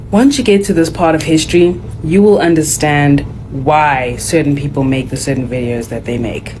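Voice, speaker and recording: Earnest woman explaining, lowish voice. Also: normal speed, darkish tone, a little hiss or hum. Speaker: commentator in a clip. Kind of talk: explaining to camera.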